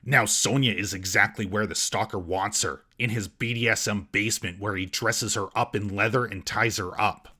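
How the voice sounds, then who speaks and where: A man's voice: on the low side, steady tone, normal speed, hardly any pauses, pushed and bright, breathy edge. A man narrating a scripted comedic movie roast as host, in a sarcastic, mocking manner.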